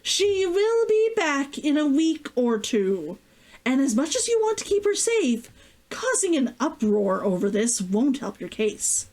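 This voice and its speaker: A woman's voice: sits high, very animated, a little slow, a little mellow, pitched above the performer's own voice. A woman voicing an exasperated, firm male town healer who is losing his temper in a story read aloud. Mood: firm, annoyed.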